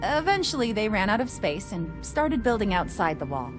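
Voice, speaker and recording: Casting: actress as proud local-history guide, mid-pitched, informative storyteller, game dialogue over clear music. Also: female voice, sing-song, normal speed, lightly acted. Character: proud museum curator, adult woman, history guide. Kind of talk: video game character dialogue.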